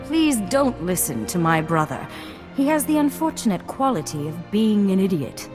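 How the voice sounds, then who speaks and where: A woman acting as a proper young lady of a noble house in a video game. Her mid-pitched voice is refined and poised, with music clearly playing behind it. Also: sing-song, moderate pace, natural voice. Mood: prim. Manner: formal, refined.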